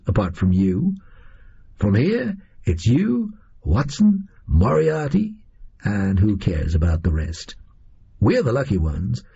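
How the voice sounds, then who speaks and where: Man voicing a cocky, husky schemer. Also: mid-range voice, mellow, lo-fi sound, heavily acted. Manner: breezy, slangy, chatty. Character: brash American man from fiction, gleeful cocky schemer.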